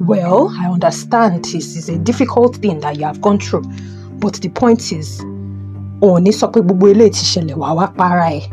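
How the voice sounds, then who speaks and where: A woman's voice: on the low side, fairly quick, music in the background, close to an everyday voice. A woman playing a stern but caring older father in an audio drama, emphatic and admonishing, with a Nigerian accent.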